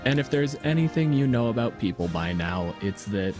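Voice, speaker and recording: A man's voice: mid-range voice, expressive, normal speed, over music. A man from Canada co-hosting a casual podcast chat, blunt and sardonic in his way of talking. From Windsor, Ontario, Canada. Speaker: podcast co-host. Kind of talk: casual chat about tabletop gaming.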